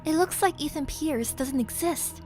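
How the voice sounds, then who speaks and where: An earnest, headstrong young woman vigilante played by a voice actress in an audio crime drama. Her voice is distinctly high. Faint music sits behind her. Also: a little slow, darkish tone, poor audio. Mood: matter-of-fact.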